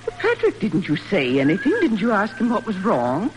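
Worried mother, animated, old radio. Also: mid-range voice, normal speed, faint music behind. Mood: concerned. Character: worried gentle farm mother. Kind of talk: 1940s radio drama acting. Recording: noisy old radio broadcast recording.

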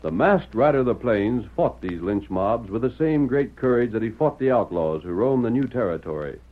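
An actor playing a grandiose, stirring announcer, delivering emphatic dramatic narration for an old-time radio western adventure. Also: male voice, mid-range voice, expressive, moderate pace, soft background music, natural voice. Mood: stirring, grand. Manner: emphatic, theatrical, declamatory. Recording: tinny, narrow-band vintage radio recording.

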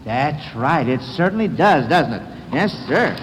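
A man's voice: fairly high pitch, big pitch swings, measured pace. A man hosting an old-time radio quiz, talking to children in a folksy, encouraging way, on a vintage recording. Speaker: quiz show host. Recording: old radio recording, reverberant hall, background noise.

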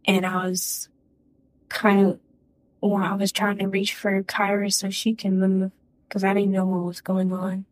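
A woman's voice: mid-range voice, monotone, dry recording, poor audio. Hesitant young woman giving testimony. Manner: halting and hesitant, lots of "like" and restarts. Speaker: witness. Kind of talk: courtroom testimony.

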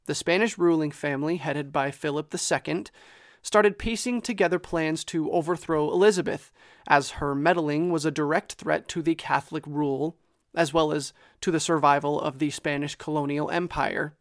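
A man's voice: on the high side, steady tone, normal speed, no echo. A man hosting a history podcast, reading a scripted narration in a conversational way with light self-deprecating asides, into a close microphone.

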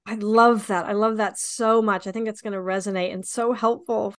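A woman's voice: medium pitch, steady tone, moderate pace. A woman hosting a podcast interview, affirming and encouraging her guest. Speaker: podcast host. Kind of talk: interview conversation.

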